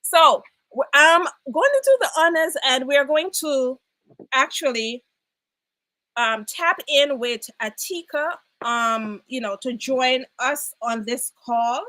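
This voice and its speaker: A Jamaican woman hosts a casual live broadcast and keeps the chat lively, laughing easily and calling out to viewers. Her voice is bright and sits a little high. The sound is dry and close. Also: takes it slowly, dry recording. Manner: lively, laughs often, reads out viewer comments.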